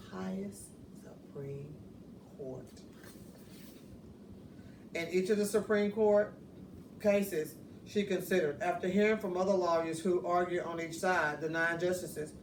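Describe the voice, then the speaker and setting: A woman reads a children's picture book aloud in an expressive, deliberate storytelling manner. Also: fairly low pitch. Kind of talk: children's read-aloud narration. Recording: roomy, distant mic, faint noise.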